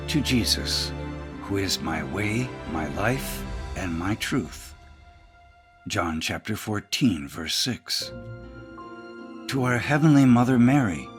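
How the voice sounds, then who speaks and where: A man, a priest, reading a scripted audiobook narration. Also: on the low side.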